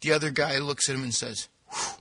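A man's voice: medium pitch, steady tone, quick pace, bright, forceful tone. A man co-hosting a podcast, chatting casually and telling jokes with a giggly manner. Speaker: podcast co-host.

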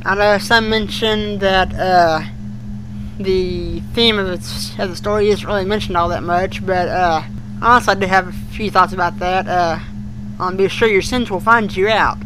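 A man co-hosting a podcast in casual chat, with a folksy, halting, colloquial way of talking. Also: steady tone. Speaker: podcast co-host. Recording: noisy recording with humming rumble.

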